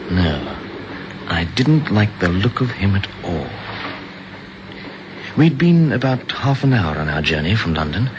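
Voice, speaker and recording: An actor narrating and playing a brisk traveller in an old radio drama, speaking in a crisp, clipped and commanding way. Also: male voice, low-pitched, background noise. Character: male narrator-hero, brisk English traveller.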